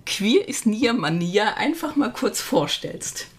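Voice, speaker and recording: A woman's voice: medium pitch, sing-song, takes it slowly, breathy edge. Woman, podcast host, casual, playful, chatty. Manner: playful, laughs easily. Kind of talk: casual interview.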